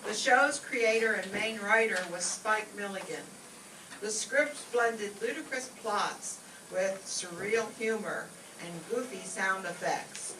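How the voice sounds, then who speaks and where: A woman's voice: mid-range voice, slow pace. A woman reading a scripted introduction as presenter of a radio show on stage. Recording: echoey, distant stage recording, faint noise.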